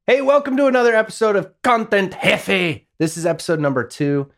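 A man's voice: sits high, brisk, fairly bright tone, soft background music. A man co-hosting a casual podcast, upbeat and enthusiastic as he explains ideas. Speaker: podcast co-host, audio and video producer.

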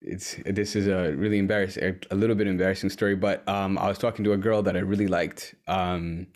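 A young man from Uganda, a musician guest, talking casually in an interview with many pauses and fillers. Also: low-pitched, little pitch movement, a little mellow. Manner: easygoing, rambling, frequent um and uh.

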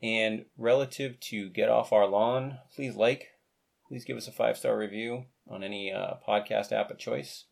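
Earnest American podcast host, low voice. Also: male voice, steady tone, gravelly, no room sound, studio quality. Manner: earnest, measured, speaks slowly when reading out numbers. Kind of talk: podcast interview chat. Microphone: video call.

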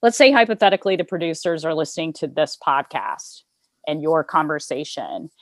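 A woman's voice: low-pitched, expressive, brisk, dry recording. A woman hosting a podcast interview, speaking to guests and listeners. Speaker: podcast host.

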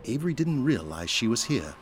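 A man's voice: mid-range voice, big pitch swings, a little fast, noisy background. A man reading scripted narration for an audio drama.

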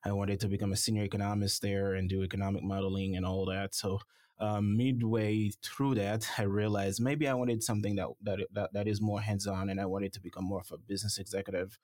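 A man from Haiti, a startup founder, answering interview questions in a measured way with many fillers. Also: lowish voice, level tone, darkish tone, no echo, studio quality. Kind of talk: conversational interview.

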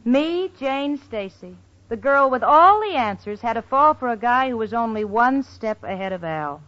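An actress playing a wry, worldly young roommate in a 1940s radio sitcom, brisk and wisecracking. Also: female voice, on the high side, expressive, a bit bright. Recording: muffled 1940s radio recording.

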